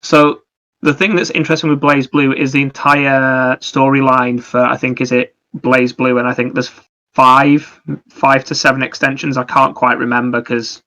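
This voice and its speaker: A man with a bright, forward voice talks casually as a podcast co-host. His pitch stays fairly flat while he explains things in a rambling, enthusiastic flow. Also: normal speed, muffled recording. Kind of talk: casual chat about anime and games.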